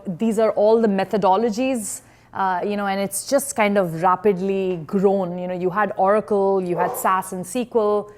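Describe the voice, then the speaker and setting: Woman in her 40s giving a startup talk, lots of ums and restarts, medium pitch. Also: darkish tone. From born in India, raised in Singapore. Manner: hesitant, many fillers and restarts. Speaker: engineer and tech investor giving a talk. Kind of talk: conference talk.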